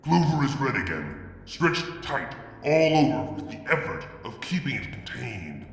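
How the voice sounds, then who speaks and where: A man's voice: medium pitch, big pitch swings, vocal fry. Brooding man narrating in an echoey room. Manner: brooding, deliberate, with dramatic drops in loudness. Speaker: fanfiction reader. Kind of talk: dramatic first-person story narration. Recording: reverberant room with long echo.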